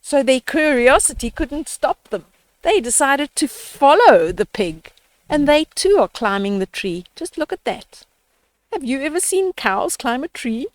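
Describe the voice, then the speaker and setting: A woman's voice: high-pitched, very animated, a little slow, no echo. An older woman reading a children's story aloud, expressively, with explanatory asides and questions to young listeners.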